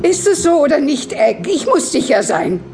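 A cranky old neighbour lady in an audio drama, high-pitched and creaky. She makes imperious demands with dramatic insistence, over background music. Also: very animated, normal speed, darkish tone. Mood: demanding, suspicious.

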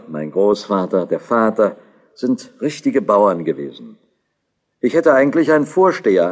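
An actor playing a devout, questioning young German student in a historical audio drama, speaking in an earnest, declamatory way. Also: male voice, on the low side, a little mellow. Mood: plain, reflective.

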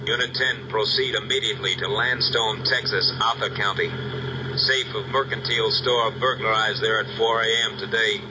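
A flat, clipped police dispatcher. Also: male voice, lowish voice, normal speed. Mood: businesslike. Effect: shortwave radio. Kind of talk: 1940s radio acting. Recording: hissy radio broadcast.

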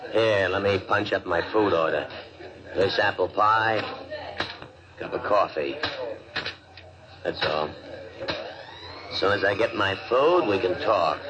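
Blunt, low-voiced circus schemer. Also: male voice, slow, long pauses, poor audio. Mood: offhand, businesslike. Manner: blunt, coolly bargaining. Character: scheming, blunt circus cat handler turned saboteur. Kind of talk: 1950s radio serial acting. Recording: old radio recording with crowd murmur.

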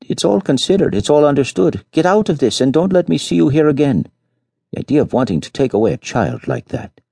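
A man's voice: on the high side, big pitch swings, fairly quick, creaky, warm tone, breathy edge, no echo, clean studio sound, pitched above the performer's own voice, heavily acted. A man voicing an angry peasant mother who fiercely scolds visitors, in a story reading. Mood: furious.

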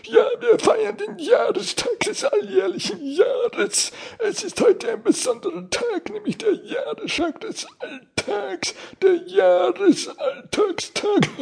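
A man playing a flustered, pompous speechmaker celebrating an absurd anniversary in a comic radio play, halting and overwrought. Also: extremely high pitch, animated, gravelly, full character voice.

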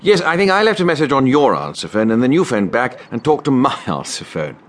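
An actor playing a fussy, genial middle-aged British gentleman in a radio drama, chatting heartily. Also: medium pitch, big pitch swings, brisk, natural voice. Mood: jovial, chatty.

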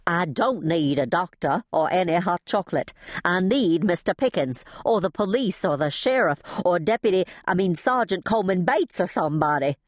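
A woman's voice: expressive, moderate pace, no echo, clean studio sound, natural voice. A woman voicing a frantic, proper older lady in an audiobook, breathless, exclaiming and indignant. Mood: indignant, exasperated. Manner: shrill, breathless wailing and exclaiming.

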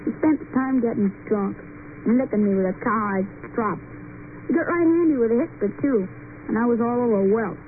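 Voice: mid-range voice, lively intonation, a little fast, natural voice. A performer playing a young, plain-spoken, stubborn backwoods boy, in an old-time radio drama. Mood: matter-of-fact narrating. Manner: plain, folksy, unschooled speech. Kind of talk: old-time radio drama acting and first-person narration. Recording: noisy, tinny old radio recording.

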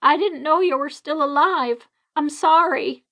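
A woman's voice: high-pitched, unhurried, bright, forceful tone, pitched above the performer's own voice, exaggerated voice. A woman voicing an older woman who wails and pleads with the dead. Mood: grieving. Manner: wailing, pleading.

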